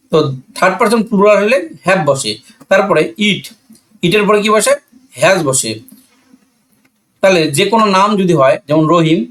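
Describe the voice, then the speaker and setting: A Bangladeshi-accented man with a slightly high voice explains grammar like a classroom teacher. He is didactic and methodical, posing questions and answering them himself. Key points come back again in repeated phrases. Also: a bit bright.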